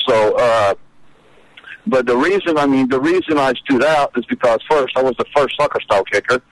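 An older man with a Brazilian accent, Portuguese his first language, speaks at a middle pitch. He talks in halting bursts, repeating words and restarting often. The sound is very dry and close, with no room around it. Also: animated, no echo, rough recording. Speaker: guest, former college kicker. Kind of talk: casual interview reminiscing.

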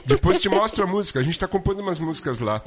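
Man, radio host, husky, animated pitch, playful teasing banter. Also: very fast. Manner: playful, teasing, swears jokingly. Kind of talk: casual radio chat.

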